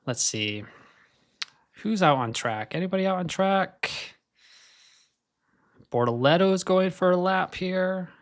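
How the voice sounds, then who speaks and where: Young guy w/ american accent rambling on a live stream, kinda high voice, thinking out loud. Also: expressive, unhurried, pauses often. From Scotland. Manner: chatty, thinks aloud while watching qualifying. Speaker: livestream host. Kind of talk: casual live-stream chat.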